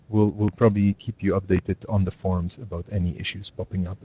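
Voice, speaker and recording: A man hosting a community meeting, moving briskly through updates in a playful, casual way with some restarts. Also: fairly low pitch, muffled recording. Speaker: meeting host.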